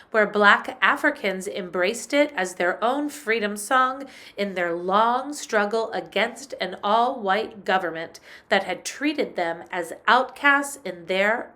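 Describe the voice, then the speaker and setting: A woman music teacher reading a picture book aloud in a slow, clear storytelling manner. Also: medium pitch, pushed and bright.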